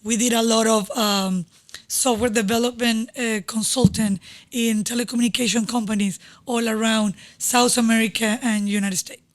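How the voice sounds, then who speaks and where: A woman in her forties from Venezuela, a native Spanish speaker with a Venezuelan Spanish accent, talking as a podcast guest in a studio. She speaks in a warm, halting way with many pauses and small grammar slips. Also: a little high, fairly even intonation. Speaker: guest, real estate agent. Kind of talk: casual interview.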